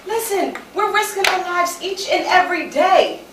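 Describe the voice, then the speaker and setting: A woman's voice: very high voice, expressive, normal speed. A woman acting on stage as an anxious young pregnant American woman, earnest and pleading with her partner. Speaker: stage actor. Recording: echoey distant stage recording, clear noise.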